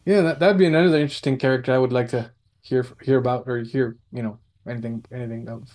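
A man's voice: mid-range voice, steady tone, fast talker, a little mellow. A man chatting casually in a rambling way, often repeating his phrases as he goes. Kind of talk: casual chat.

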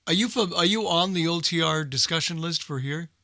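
A man's voice: on the high side, brisk, pushed and bright, dry recording. A man chatting casually in a group conversation on a radio talk show. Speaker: old-time radio show regular and guest.

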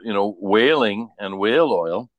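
A man's voice: on the low side, expressive, a little slow, no echo, lo-fi sound. A man talking casually and informally in conversation. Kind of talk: casual chat.

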